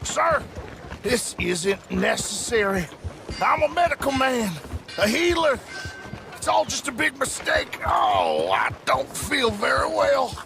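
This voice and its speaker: A man's voice: very high-pitched, huge pitch swings, takes it slowly, gravelly, exaggerated voice. A man playing a whining, wheedling quack healer on the run, theatrical, florid and pleading, in a video game. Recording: music bed behind game dialogue.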